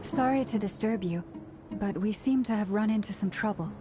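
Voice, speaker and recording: A woman voicing a calm, earnest, formal young woman in a video game, speaking in a measured, courteous and precise way. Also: medium pitch, music in the background, natural voice. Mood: polite, earnest.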